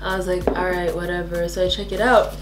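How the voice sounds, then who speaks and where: A young woman from Sweden chatting casually as a guest, a relaxed storyteller. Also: fairly low pitch, fairly quick, roomy sound, over loud music. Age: young adult. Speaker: guest friend. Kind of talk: casual chat.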